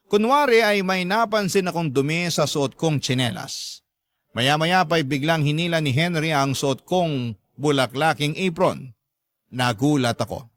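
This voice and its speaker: A man's voice: fairly high pitch, a bit bright, no echo. A man reading a scripted first-person story as a dramatic narrator.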